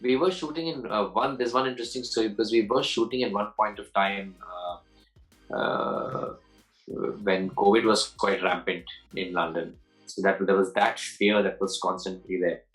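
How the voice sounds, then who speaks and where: A man's voice: lowish voice, moderate pace, fairly bright tone, faint music behind, low-quality recording. A man in his forties, an actor answering interview questions in a loose, rambling way, often restarting and repeating words, with easy laughs. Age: 40s.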